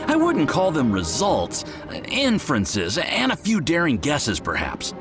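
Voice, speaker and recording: An eager, lively lab assistant. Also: male voice, on the high side, exaggerated voice. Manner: eager, quick to get excited, deferential. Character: eager male research assistant, excitable and deferential. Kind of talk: game cutscene voice acting. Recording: game music mixed in. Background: game soundtrack music.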